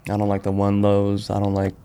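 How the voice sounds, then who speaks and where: A man's voice: fairly low pitch, monotone, brisk, dark tone, clean tone. An American man, a guest on a podcast, chatting in a laid-back, conversational way. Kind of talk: casual chat about sneakers.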